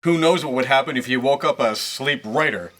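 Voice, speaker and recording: Low-voiced, earnest woman acting a space detective. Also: brisk, a bit bright. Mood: cautious. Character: earnest, self-styled space detective giving orders. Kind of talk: comic sci-fi audio drama acting.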